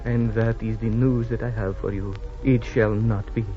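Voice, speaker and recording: An actor playing an easygoing, timid Californio ranchero husband, hesitant and excitable, in 1940s radio style. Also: male voice, lowish voice, moderate pace, soft background music, close to an everyday voice. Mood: solemn, resolute. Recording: muffled vintage radio recording.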